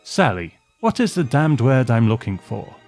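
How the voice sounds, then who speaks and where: A man's voice: mid-range voice, big pitch swings, normal speed, darkish tone, soft background music. A man voicing a hearty, opinionated old man who rambles fondly with old-fashioned exclamations, in a storybook reading. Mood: proud, wistful. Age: older. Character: hearty opinionated old man reminiscing about his beloved ship.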